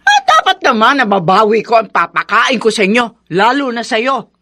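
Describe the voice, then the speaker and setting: Sharp, scolding Filipino mother-in-law. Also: fairly high pitch, big pitch swings, moderate pace, exaggerated voice. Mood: stern, scornful. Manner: sharp, sarcastic, bossy. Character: domineering, scolding middle-aged mother-in-law, nagging and scornful. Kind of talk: Filipino radio drama acting.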